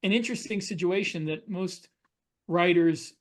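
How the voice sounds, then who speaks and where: A man's voice: high voice, normal speed. A middle-aged man co-hosting a casual panel discussion, explaining his opinions at length. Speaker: podcast co-host.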